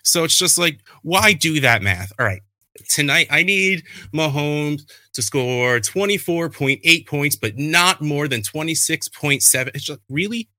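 A man in his thirties, a fantasy football podcaster, chatting casually and telling animated stories with a self-deprecating streak. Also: on the high side, unhurried, dry recording.

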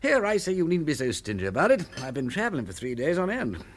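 A man playing a flippant upper-class English gentleman detective in a radio drama, with a jaunty, slangy drawl. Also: fairly high pitch, wildly swooping pitch, fast talker. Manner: jaunty drawl full of old-fashioned slang.

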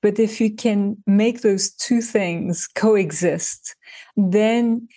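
A woman's voice: medium pitch, fairly even intonation, drawn-out words, dark tone, no echo. A woman from Turkey speaks in a reflective interview, working through her ideas with everyday examples and some restarts. Her voice sits in a middle register. The recording is close and dry, with no room sound. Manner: thoughtful, explains with examples, frequent restarts. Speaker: designer and author, interview guest.

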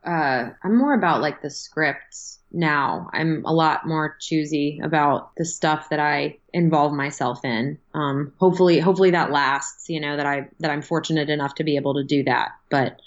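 A woman voice actress with a husky voice, talking earnestly about her work in a casual interview. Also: sits low, normal speed, hardly any pauses, highs cut off. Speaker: voice actress guest.

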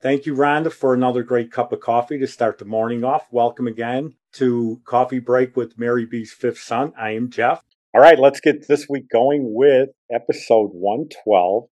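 A man's voice: medium pitch, steady tone, unhurried, a little mellow. A man in his sixties hosting a podcast, chatting casually and reminiscing in a rambling, nostalgic way. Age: 60s. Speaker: podcast host.

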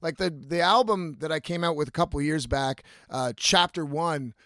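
Guy in his 30s rambling on his podcast, kinda higher voice, sweary n candid, super dry sound. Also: normal speed, a bit bright, no room sound. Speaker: podcast host, singer-songwriter. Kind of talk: casual confessional monologue.

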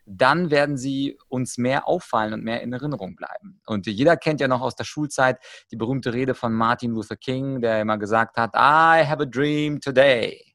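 A man from Ukraine talks as an expert guest on a podcast. His voice sits at a medium pitch and is recorded dry, with little room sound. He explains in a conversational way, working through examples like a trainer. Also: moderate pace, hardly any pauses, a bit bright, dry recording. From Ukraine, moved to Germany at ten.

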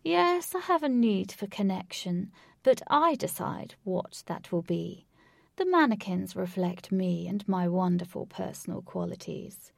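Lady doing a grandiose creepy stalker guy, gravelly, dry close sound. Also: medium pitch, animated, a little mellow, dry recording, heavily acted. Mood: self-satisfied. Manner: theatrical, grandiose, drawn-out. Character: theatrical, delusional adult male stalker.

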